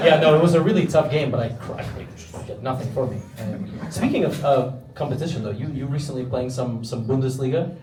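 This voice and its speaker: A man's voice: medium pitch, animated, brisk, noisy background. Man chatting on stage, echoey hall. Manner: rambling with fillers and restarts. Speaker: live show host. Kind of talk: casual stage chat. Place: event hall with live audience. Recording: roomy hall from far off.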